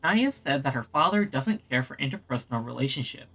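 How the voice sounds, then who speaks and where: A man's voice: mid-range voice, expressive, fairly quick, noisy background. A man giving casual gameplay commentary as a streamer, reading out game prompts. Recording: game music behind the voice.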